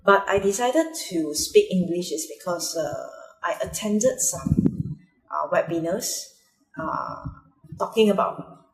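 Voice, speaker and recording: A woman's voice: fairly low pitch, expressive, slow. A young Malaysian woman with a Malaysian accent, Mandarin her first language, talking hesitantly in a personal vlog with many fillers and restarts. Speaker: vlogger. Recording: echoey room.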